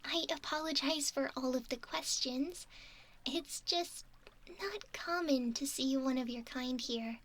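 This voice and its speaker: A woman voicing a gentle, curious young mermaid in a soft, often whispery ASMR roleplay, soothing and polite. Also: high-pitched, measured pace, a little hiss or hum.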